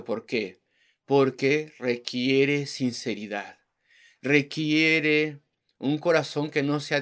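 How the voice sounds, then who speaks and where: A man's voice: mid-range voice, a bit bright. A man preaching an evangelistic sermon, driving his points home with rhetorical questions and repeated words in lists.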